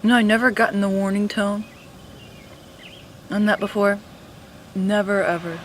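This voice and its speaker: An American woman with a mid-pitched voice talks off the cuff in a casual, slangy way. It is an amateur home recording with clear background noise. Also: steady tone, a little slow. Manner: informal, slangy exclamations and remarks. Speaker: amateur recording a weather radio alert. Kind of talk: casual spontaneous chat.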